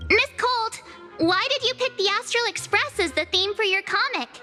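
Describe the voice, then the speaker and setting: A woman playing a cute, eager teenage girl adventurer in an anime game, perky and expressive. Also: extremely high pitch, moderate pace, heavily acted. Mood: excited, curious. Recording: music behind the voice.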